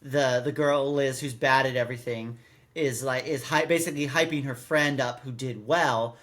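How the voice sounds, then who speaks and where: A man's voice: mid-range voice, fairly even intonation, normal speed, a bit bright. A man hosting a podcast in casual chat, rambling and often restarting his sentences mid-thought. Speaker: podcast host. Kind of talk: casual chat reviewing a radio drama.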